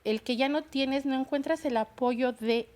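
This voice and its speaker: A middle-aged woman speaks in a fairly high voice as a talk show guest. She talks in a hesitant, halting way, restarting her sentences. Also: a little mellow. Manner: hesitant, many fillers and restarts. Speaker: guest, bilingual secretary. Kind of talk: personal testimony on a talk show.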